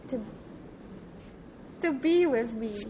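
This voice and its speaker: A woman's voice: fairly high pitch, animated, slow, warm tone. Polish woman, faintly British accent, station noise. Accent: Polish, with a British tinge. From Poland. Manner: thoughtful, rambling with fillers and restarts. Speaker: guest. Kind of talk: casual chat. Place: train station. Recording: noisy train station ambience.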